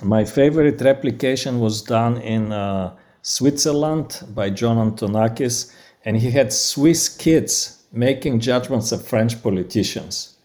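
A man's voice: mid-range voice, unhurried, darkish tone. A man with a Bulgarian accent, a researcher explaining studies in an interview, academic in manner with frequent fillers. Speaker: guest researcher. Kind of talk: interview answers.

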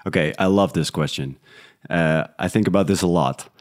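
A man from the Netherlands with a fairly low voice speaks as an interview guest. His talk is casual and reflective, like an unscripted conversation. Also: normal speed. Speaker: interview guest, audio drama creator.

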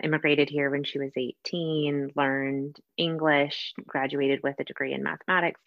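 American female podcast guest, low voice, candid personal storytelling, dull lo-fi audio. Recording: echoey room, dull lo-fi sound.

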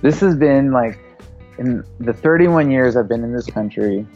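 A man's voice: medium pitch, a little slow, darkish tone, muffled recording, faint music behind. A man in his thirties, a filmmaker and podcast guest, talking in a loose, rambling way full of fillers. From United States. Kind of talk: casual interview.

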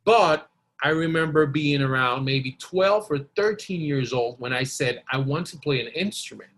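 A male podcast guest from Costa Rica whose first language is Spanish. His voice sits a little higher than average, and he talks in an informal, anecdotal way. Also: lively intonation, normal speed, fairly bright tone. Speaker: podcast guest, blues guitarist. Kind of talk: casual storytelling in an interview.

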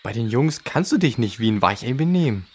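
A man's voice: medium pitch, lively intonation, a little fast, breathy edge. A man playing a defensive teenage boy who acts tough, talking in slangy, hesitant bursts in a children's audio drama.

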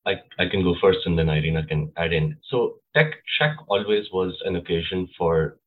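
A man in his thirties from India, explaining things in a relaxed way as a podcast guest. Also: low-pitched, moderate pace, narrow, tinny audio. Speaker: guest, club co-president. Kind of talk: interview answers.

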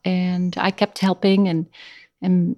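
A middle-aged woman from the Netherlands with a Dutch accent, speaking English as a guest in a casual interview, pausing often with fillers as she searches for words. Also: on the low side, little pitch movement, darkish tone, no room sound, clean studio sound.

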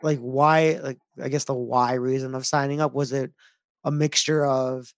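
A man's voice: medium pitch, little pitch movement, no room sound. Man, podcast host, easygoing, slangy, dry close recording. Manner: easygoing, agreeable, quick with slang. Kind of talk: casual chat.

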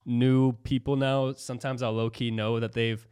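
A man's voice: medium pitch, flat delivery, normal speed, a little mellow, smooth, no echo. Laid-back young man with a Florida accent. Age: 20s. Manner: laid-back, chatty, swears casually. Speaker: podcast host. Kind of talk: casual chat.

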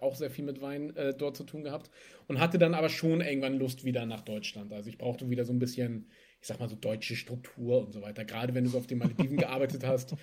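A young man from Germany, a spirits entrepreneur, chatting casually in an interview and telling stories in a lively way with laughs. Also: mid-range voice.

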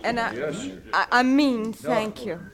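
Wounded, bitter frontier widow. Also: female voice, a little high, animated, over a noisy crowd. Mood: subdued, wistful. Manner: halting, emotionally strained. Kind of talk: old-time radio western acting.

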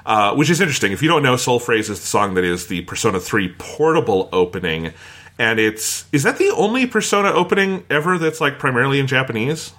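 A man's voice: medium pitch, sing-song, fairly quick, fairly bright tone. A man hosting a casual critical discussion, giving firm opinions and steering the talk along. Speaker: podcast host and reviewer.

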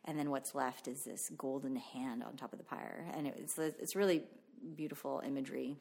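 Low, creaky-voiced woman, reflective. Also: steady tone, normal speed, a little mellow. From Canada. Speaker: interview guest, visual artist. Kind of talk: casual reflective interview about own work.

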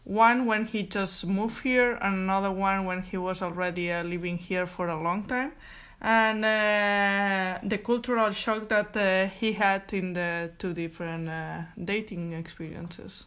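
A woman co-hosting a podcast, speaking hesitantly with many pauses on "uh". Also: mid-range voice, fairly even intonation, a bit bright, clear, resonant tone. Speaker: podcast co-host.

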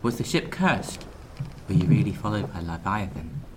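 An actor playing an earnest, curious young sailor in a period radio drama, polite and hesitant. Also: male voice, fairly low pitch, big pitch swings, normal speed, noisy background.

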